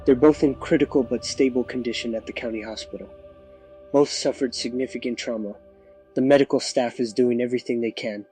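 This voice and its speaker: A man plays a small-town sheriff with a soft, mellow voice. He speaks in a measured, official way, reassuring the public in an audio drama news interview, with music playing behind him. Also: medium pitch, steady tone, lightly acted. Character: composed small-town male sheriff giving an official statement.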